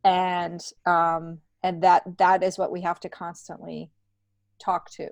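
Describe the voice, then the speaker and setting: A woman's voice: on the low side, flat delivery, takes it slowly, creaky, no room sound. A middle-aged woman speaking candidly and reflectively in an informal interview, repeating points for emphasis. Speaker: podcast guest, stand-up comedian.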